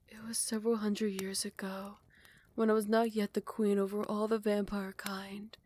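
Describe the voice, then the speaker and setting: A woman voicing a proud, centuries-old female vampire queen in an audio roleplay. She shifts between close, hushed whispered storytelling and sharp, scornful retorts, recorded on a close microphone. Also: medium pitch, little pitch movement, unhurried, lightly acted. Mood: hushed recounting. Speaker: voice actor. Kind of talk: ASMR roleplay.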